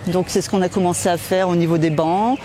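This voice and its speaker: High-voiced man, halting, faint outdoor noise. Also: fairly even intonation, dark tone. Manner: repeats 'voilà', halting phrasing. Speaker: interviewee, care home staff member. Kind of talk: explanatory interview answers. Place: outdoor garden. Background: faint outdoor ambience.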